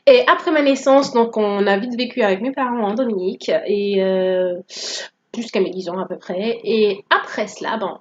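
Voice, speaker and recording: A woman's voice: mid-range voice, moderate pace, highs cut off, slight background noise. A woman in her thirties from Guadeloupe, whose first language is English, telling her story in a playful, joking vlog. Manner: playful, joking, code-switching. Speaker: vlogger. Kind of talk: casual testimony vlog.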